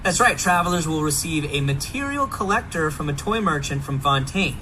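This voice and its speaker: A man giving an upbeat scripted presentation with an animated, enthusiastic manner. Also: on the high side, brisk, a little hiss or hum. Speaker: game livestream presenter. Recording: faint music behind the voice.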